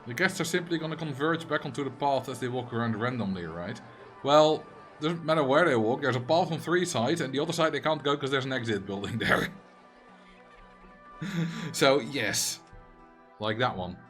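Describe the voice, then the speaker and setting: A man's voice: medium pitch, lively intonation, normal speed, a bit bright, soft background music. A man with a Dutch accent, a streamer chatting casually and answering chat messages while he plays a game. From Netherlands.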